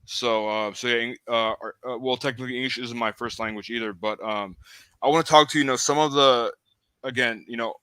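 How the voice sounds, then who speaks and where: A man hosting an interview, his voice bright and crisp, recorded dry and close. He grew up speaking Armenian first and talks in a hesitant, searching way, full of small fillers. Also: mid-range voice, steady tone, fairly quick, no room sound. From United States. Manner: hesitant, heavy on "you know" fillers. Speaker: host. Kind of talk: casual interview conversation.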